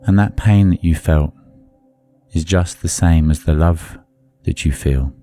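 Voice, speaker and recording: Man, meditation guide, very slow delivery, dark mellow tone, soothing, long pauses, music behind. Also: sits low.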